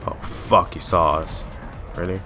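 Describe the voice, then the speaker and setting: A man's voice: deep voice, fairly even intonation, measured pace, over music. A man in his twenties, a native French speaker with a French accent, giving casual, blunt gameplay commentary and swearing freely. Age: 20s. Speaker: gamer.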